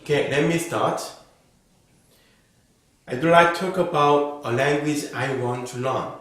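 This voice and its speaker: Slow, careful man in an echoey room. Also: fairly high pitch, a bit bright. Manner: slow, careful, model-answer delivery. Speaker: IELTS speaking teacher. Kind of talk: reading sample exam answers as a lesson.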